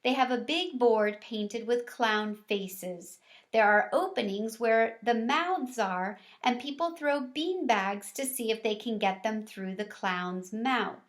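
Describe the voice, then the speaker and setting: A woman reader plays a kindly storybook schoolteacher. She speaks slowly in a fairly high voice, with patient, practical explanations for her class. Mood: patient, amused. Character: kindly, practical female primary school teacher.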